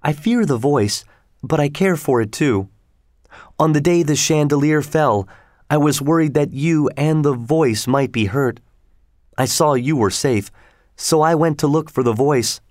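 A man's voice: on the high side, measured pace, a little mellow, no room sound, clean studio sound, voice pushed up, lightly acted. A man voicing a frightened, earnest young woman opera singer in a dramatic storybook reading. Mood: troubled.

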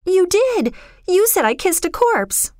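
A woman's voice: very high voice, sing-song, slow pace, a little mellow, dry recording, studio quality, pitched above the performer's own voice, exaggerated voice. A woman storyteller voicing a spoiled rich young girl, emotional and blithely callous, in a dramatic, pleading manner. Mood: horrified, protesting.

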